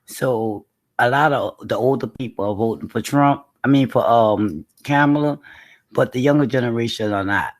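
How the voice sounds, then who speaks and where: A woman's voice: very deep voice, moderate pace, rough recording. A woman listener on the line who talks in a casual, teasing way.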